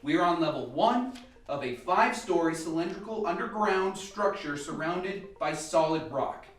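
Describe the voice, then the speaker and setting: A man acting in an amateur radio play as a calm, instructive scientist briefing a lab team with measured delivery. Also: fairly high pitch. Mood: matter-of-fact briefing. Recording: echoey room, distant mic, faint music.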